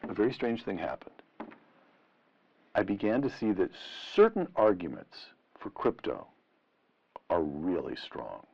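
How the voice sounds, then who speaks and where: A middle-aged man interviewing in a deliberate, rhetorical and emphatic way, on a lo-fi vintage radio style recording. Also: sits low, big pitch swings, creaky, breathy edge. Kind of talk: long-form interview questioning. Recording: dull, lo-fi vintage radio sound.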